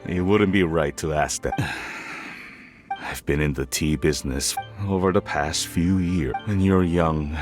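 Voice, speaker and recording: Deep, hesitant, worried father. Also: a little slow, music in the background. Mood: worried, regretful. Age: middle-aged. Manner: hesitant, sighing, modest. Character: worried, humble middle-aged father, a tea merchant. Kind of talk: video game voice acting.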